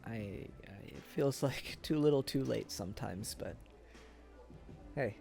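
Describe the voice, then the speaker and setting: A man playing a wistful, self-deprecating former high school sweetheart in an intimate, halting boyfriend ASMR roleplay. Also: creaky, over music. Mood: regretful, tender. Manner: hesitant, halting, chuckles through confessions.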